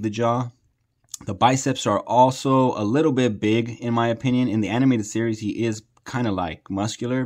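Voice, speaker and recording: Man, lowish voice, casual reviewer, informal, enthusiastic, slangy. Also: a little mellow. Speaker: toy reviewer, host.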